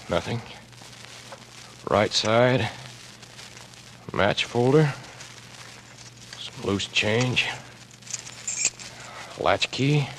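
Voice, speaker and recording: A man's voice: medium pitch, gravelly, fairly bright tone, exaggerated voice. An actor playing an anxious, earnest young American man turned amateur sleuth in 1940s radio drama, speaking in terse, clipped deductive phrases. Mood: tense, focused. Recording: noisy old radio drama recording.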